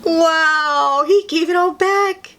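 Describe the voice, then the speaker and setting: A woman's voice: very high-pitched, slow, fairly bright tone, soft background music. A woman reading a picture book aloud expressively to young children, adding exclamations and drawing words out for effect. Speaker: children's read-aloud host.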